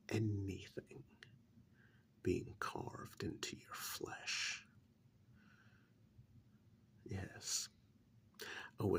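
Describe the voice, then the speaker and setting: A man playing a cold, sadistic serial killer in a soft-spoken ASMR role-play, speaking slowly and menacingly in a breathy, gravelly whisper with deliberate pauses. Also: on the low side, exaggerated voice. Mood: cold contempt.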